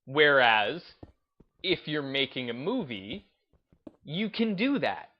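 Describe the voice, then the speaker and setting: A man giving off-the-cuff opinion commentary on a gaming channel, speaking in halting, emphatic short bursts. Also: medium pitch, big pitch swings, dull audio.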